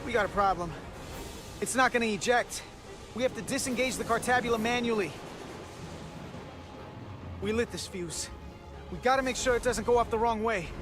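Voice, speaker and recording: A man's voice: extremely high pitch, normal speed, pauses often. A man playing an urgent starship engineering crewman in a science fiction video game. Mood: urgent, strained. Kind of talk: video game dramatic acting. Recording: music behind the voice.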